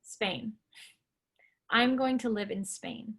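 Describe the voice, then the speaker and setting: A woman's voice: on the high side, takes it slowly, a bit bright, breathy edge, heavily acted. An actress playing a headstrong, wry young woman in a staged play reading. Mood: wry, resolute.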